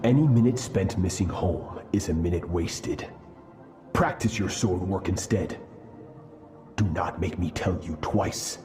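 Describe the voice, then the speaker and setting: A man voicing a harsh sword master who talks in a slow, grave and menacing way. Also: sits low. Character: stern harsh male sword master, cold and merciless. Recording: echoey game audio over music. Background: game music.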